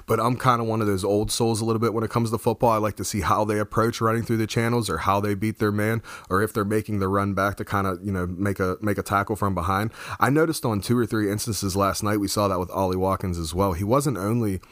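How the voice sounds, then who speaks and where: A hoarse man co-hosting a casual football review, talking excitably and rambling with many restarts. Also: fairly low pitch, fast, hardly any pauses, dry recording, clean studio sound. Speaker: football podcast co-host. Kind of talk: casual match review chat.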